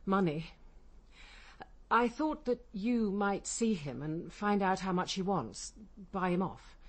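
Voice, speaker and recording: A woman's voice: medium pitch, animated, takes it slowly. An actress playing an imperious, middle-aged English woman barrister, clipped, cutting and determined, in a radio crime drama. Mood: bitter, determined. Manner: commanding, clipped, insistent. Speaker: actor.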